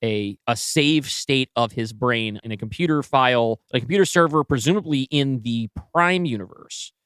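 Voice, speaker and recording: A man speaks as a podcast co-host in an informal planning conversation. His pitch is average for a man, and the sound is dry, without room echo. The delivery is casual and conversational. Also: normal speed, a bit bright, clear, resonant tone. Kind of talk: casual story-planning discussion.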